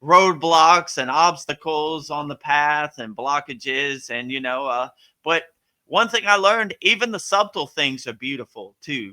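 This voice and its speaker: A man with a very bright, forward voice sits at the center of a spiritual question-and-answer stream. His pitch rides a little high for a man. He answers in a loose, meandering way, full of "you know" and "like.". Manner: relaxed, rambling, many fillers. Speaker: spiritual teacher hosting a live Q&A. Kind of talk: casual spiritual Q&A.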